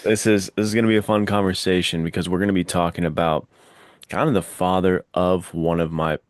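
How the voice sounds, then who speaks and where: Very deep-voiced man co-hosting a podcast. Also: flat delivery, a little fast, no echo, clean studio sound. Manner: enthusiastic, explanatory. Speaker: podcast co-host. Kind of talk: casual podcast discussion.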